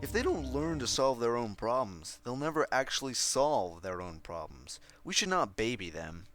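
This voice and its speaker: A calm, regal mare princess from a pony storybook, read by a man in a middle-pitched voice. She speaks with measured, slightly weary patience, like a mentor, over clear music. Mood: patient, weary.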